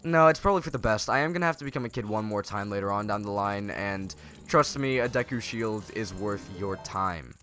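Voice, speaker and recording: A man's voice: fairly low pitch, animated, a little fast, a bit bright, soft background music. A young man with a nasal voice from a starting cold, rambling through casual, sarcastic gameplay commentary as a let's play host. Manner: rambling and sarcastic, self-correcting.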